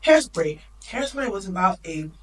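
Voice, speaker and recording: A woman's voice: mid-range voice, sing-song, fairly bright tone, a little hiss or hum. Opinionated woman, slightly distant mic. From Nigerian heritage. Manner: opinionated, emphatic, quick asides. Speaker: podcast co-host. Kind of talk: casual chat.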